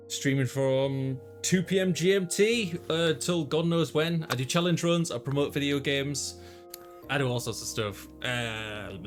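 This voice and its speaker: A man hosting a gaming stream, talking in a casual, bantering way. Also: mid-range voice. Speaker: video game streamer and host. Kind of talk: casual banter while wrapping up a gaming session.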